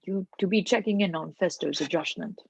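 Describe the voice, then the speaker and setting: A low, husky woman doctor, as an actress puts her on, scheming and grandiose. Her phrasing is slow and emphatic in this table read, and the recording is dull and muffled. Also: no room sound. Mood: insistent. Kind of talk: film script table read.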